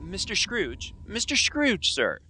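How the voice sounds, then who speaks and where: A man's voice: high-pitched, huge pitch swings, takes it slowly, noisy background, voice pushed up, heavily acted. A man in a radio play voicing a timid, deferential office clerk. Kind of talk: stage radio drama acting.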